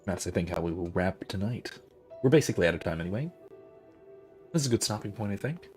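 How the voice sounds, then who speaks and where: A male host with a hoarse, husky voice, losing it, signing off a game stream in a halting, rambling way. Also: deep voice, animated, a little mellow, faint music behind. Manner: rambling, halting, many fillers. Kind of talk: casual let's-play sign-off.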